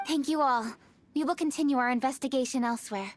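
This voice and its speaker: A woman's voice: high-pitched, fast, slight background noise, natural voice. A woman playing a formal, earnest young noblewoman, composed and articulate, in video game dialogue. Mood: gracious, resolved. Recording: faint music behind the voice.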